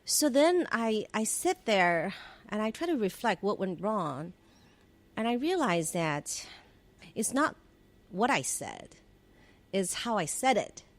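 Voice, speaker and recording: A woman's voice: medium pitch, sing-song, slow pace, pauses often. A woman from China, in her forties, telling stories from her career as a podcast guest, reflective, with restarts and small laughs. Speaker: podcast guest, former government relations head. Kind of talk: conversational interview storytelling.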